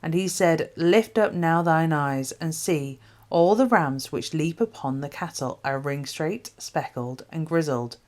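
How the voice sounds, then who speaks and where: Female Bible reader, low register, formal and measured delivery, phrase by phrase. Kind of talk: scripted scripture reading.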